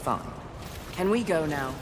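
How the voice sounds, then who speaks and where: A woman's voice: deep voice, animated, measured pace. A defiant warrior horsewoman. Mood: impatient. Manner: curt, defiant and determined. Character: fierce proud female warrior horseman. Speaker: video game character. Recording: game audio with clear background noise.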